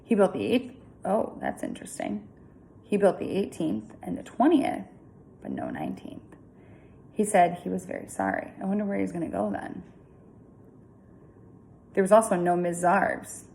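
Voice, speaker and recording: Woman storyteller, slightly low voice, animated intonation, casual read-aloud with asides, faint background noise. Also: normal speed, creaky, long pauses, rough recording. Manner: informal, chatty, expressive.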